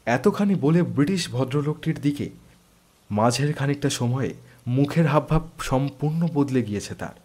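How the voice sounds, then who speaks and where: Male narrator, medium pitch, dramatic scripted storytelling for audio drama. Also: expressive, moderate pace, darkish tone.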